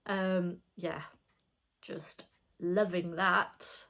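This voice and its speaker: A woman's voice: lowish voice, fairly even intonation, drawn-out words, vocal fry. A woman, a bit bunged up with a cold, chatting casually and rambling in a craft vlog at home. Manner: rambling, chatty, soft-spoken with frequent fillers. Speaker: flosstube vlogger. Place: her home.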